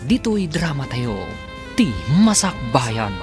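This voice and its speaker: A man's voice: a little high, big pitch swings, takes it slowly. A man announcing and narrating a radio drama in a scripted, dramatic style. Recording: music behind the narration.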